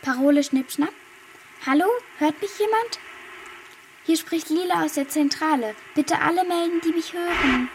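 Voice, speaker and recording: A woman's voice: high-pitched, normal speed, a little hiss or hum, rough recording. A woman playing an earnest, plucky but unsure young girl in a children's radio play. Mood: eager.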